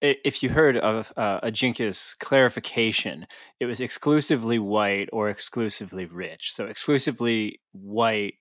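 A man's voice: on the low side, moderate pace, fairly bright tone, dry recording, studio quality. A man co-hosting a sports podcast in casual, bantering conversation. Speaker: podcast co-host.

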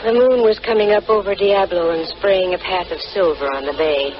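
An actress playing a brisk, arch, self-assured female private eye in a vintage radio detective drama. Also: mid-range voice, faint music behind, lightly acted. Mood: wry narration. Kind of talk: 1940s-50s radio detective drama, acting and narrating. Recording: muffled, noisy old radio recording.

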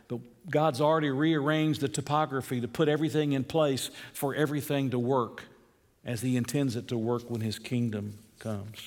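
A middle-aged man with a Southern Georgia accent preaches, his voice ringing with long reverb through a church hall. His pitch sits mid-range for a man. He surges up with emphasis, then slows and sinks for scripture. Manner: animated, rising to emphatic peaks, drops low and slow for scripture. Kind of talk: expository sermon.

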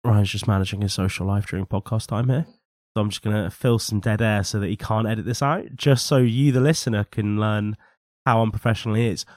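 A young man co-hosting a casual podcast, a little husky from a cold, opinionated and teasing. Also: lowish voice, steady tone, brisk, a little mellow, no room sound, studio quality. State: recovering from a cold, slightly husky. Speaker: podcast co-host. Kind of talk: casual chat.

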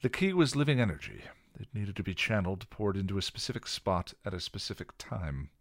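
A grave older scholar explains in measured, lecturing lines, pitched a little above the reader's own deep voice. A man plays him, recorded close and dry, with lively inflection. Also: dry recording, heavily acted.

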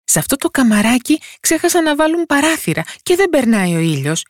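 A narrator putting on a high-pitched tiny cheeky boy. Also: very animated, no echo, clean studio sound. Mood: dismayed. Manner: bold, mocking, calling out loudly. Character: tiny cheeky boy, thumb-sized fairy-tale hero. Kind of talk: storybook character voice.

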